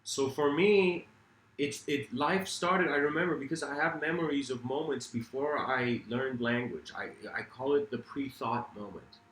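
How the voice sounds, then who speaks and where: A man's voice: mid-range voice, moderate pace, fairly bright tone, slight background noise. Iranian-born man musing in a roomy space. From Iran. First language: Farsi. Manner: rambling, self-correcting, with frequent fillers. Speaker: speaker. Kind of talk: reflective philosophical lecture.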